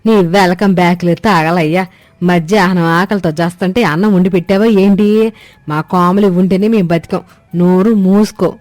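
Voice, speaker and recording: A woman's voice: fairly low pitch, steady tone, a little fast, a little mellow, dry recording, lightly acted. Woman doing a bossy old village mother-in-law, scolding, earthy talk, cartoon story, music behind, dry sound. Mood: mocking.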